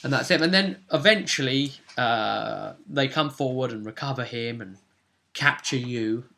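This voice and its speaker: Bright-voiced man recapping a tabletop game. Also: medium pitch, unhurried, gravelly. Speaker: game master. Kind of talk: casual recap of a tabletop role-playing game.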